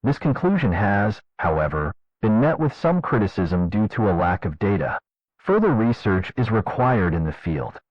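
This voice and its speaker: A man narrating a fictional scientific government report in a formal, measured, clinical manner. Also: fairly low pitch, big pitch swings, no echo. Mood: formal, detached. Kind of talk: scripted documentary narration, analog horror. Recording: dull lo-fi narration track.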